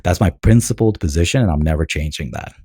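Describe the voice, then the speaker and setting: A man's voice: low voice, fast talker, mellow, slight background noise. An American man ranting bluntly and combatively in a commentary vlog. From United States. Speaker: political commentator.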